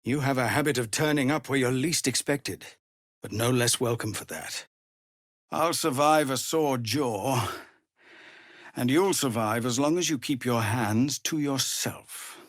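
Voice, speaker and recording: A middle-aged man playing a weary, dutiful tiefling commander of refugees in a video game, speaking formally and earnestly with measured authority. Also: medium pitch, no room sound, studio quality, close to an everyday voice. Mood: weary, firm. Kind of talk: dramatic video game voice acting.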